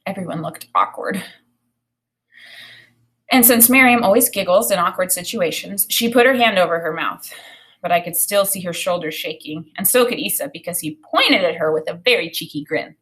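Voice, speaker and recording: A woman's voice: on the low side, normal speed, fairly bright tone. Woman reading a children's novel aloud expressively. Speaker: read-aloud narrator.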